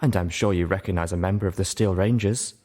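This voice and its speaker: A man's voice: low voice, fairly even intonation, fast talker, mellow. Man putting on a lofty, silky unicorn lady. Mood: silky, impatient. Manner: lofty, silky, overly dramatic. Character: haughty, silky female unicorn pony, lofty smooth-talking liar.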